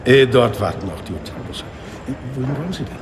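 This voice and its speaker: A man's voice: mid-range voice, very animated, fairly quick, background noise, natural voice. An actor playing a mocking South African secret service agent in a German radio drama, switching between polite patter and curt commands. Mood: obliging, polite. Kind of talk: German radio docudrama acting.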